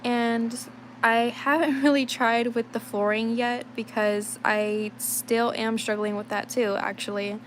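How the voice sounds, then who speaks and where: Young woman, sick, a little raspy, rambling casual streamer chat, faint noise behind. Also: fairly high pitch, little pitch movement, measured pace. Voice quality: slight rasp. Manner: rambling, thinks aloud, many fillers. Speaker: livestreaming digital artist.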